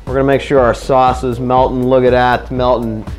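A young man talks his viewers through a stir-fry in a home kitchen, loose and slangy, like he's chatting with a friend. His voice sits at an ordinary middle pitch. Faint music plays under him, and a pan sizzles. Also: flat delivery, normal speed. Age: young adult. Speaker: vlogger. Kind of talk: casual cooking vlog.

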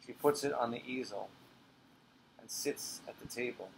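A man's voice: medium pitch, normal speed, low-quality recording. A man, the playwright, giving a scripted dramatic reading of his own play. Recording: clear background noise.

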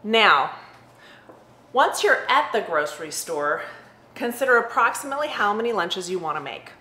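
A woman's voice: mid-range voice, sing-song, moderate pace, pushed and bright. A woman in her forties giving casual, practical meal prep advice to camera from her home kitchen. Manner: conversational, practical, upbeat. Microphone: webcam or laptop at close range. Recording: echoey room sound.

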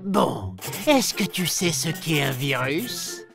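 Here is a boastful little cartoon virus, teasing children with theatrical glee. The delivery is unhurried and swings widely up and down, with a creaky edge. Soft music plays behind. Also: female voice, low-pitched, big pitch swings, exaggerated voice. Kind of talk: animated educational narration for children.

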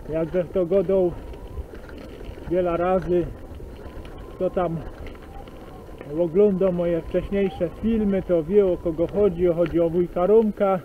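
Slow-talking Silesian man on a bike ride. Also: high-pitched, phone-quality sound, a little hiss or hum. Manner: slow, rambling, relaxed chat with pauses. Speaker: cycling vlogger. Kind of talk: casual spoken commentary while riding. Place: outdoors on a bike on rural roads and forest tracks.

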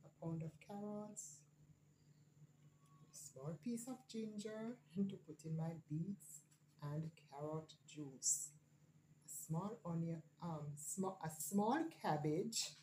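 Jamaican woman vlogger, low mellow voice, unhurried item-by-item listing, phone mic at home. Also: a little hiss or hum, poor audio. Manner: listing items one by one, unhurried. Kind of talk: casual grocery haul narration.

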